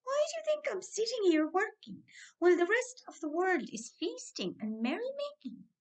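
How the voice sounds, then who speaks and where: A woman's voice: extremely high pitch, sing-song, moderate pace, voice pushed up, heavily acted. A woman voicing a tiny, crafty male leprechaun shoemaker in a chirpy storybook manner. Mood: mocking, scolding. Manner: chirpy, squealing when riled.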